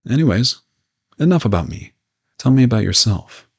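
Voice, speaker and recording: A man playing a gentle, courteous old vampire in an intimate audio roleplay, reassuring and lightly teasing as he speaks to the listener. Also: medium pitch, very animated, moderate pace, creaky, husky, muffled recording, heavily acted. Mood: conversational, friendly. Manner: soft-spoken reassurance, politely formal with teasing asides.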